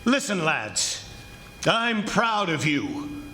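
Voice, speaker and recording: An actor playing a bold, defiant plantation owner in a declamatory 1940s radio adventure style with dramatic pauses. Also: male voice, sits high, big pitch swings, a little hiss or hum, full character voice. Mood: resolute, rallying. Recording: loud dramatic music behind the voice. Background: loud music.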